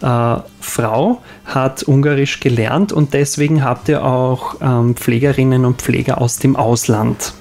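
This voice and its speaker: A man hosting a radio interview, asking slow, halting questions with small fillers. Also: mid-range voice, steady tone, gravelly, a little mellow. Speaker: radio host.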